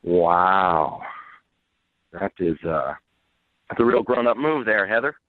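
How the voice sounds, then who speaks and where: A man's voice: low voice, lively intonation, takes it slowly, dry recording. A man speaking bluntly and smugly over the phone as a guest in an unscripted radio call, unapologetic in his answers. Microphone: telephone. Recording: band-limited phone line.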